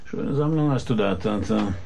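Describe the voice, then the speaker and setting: An actor playing a world-weary private detective with a dry, laconic manner and gravelly voice, narrating and acting in a German radio drama. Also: male voice, on the low side, moderate pace, a little hiss or hum. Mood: wry. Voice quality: gravelly, husky, dark. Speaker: first-person narrator and lead.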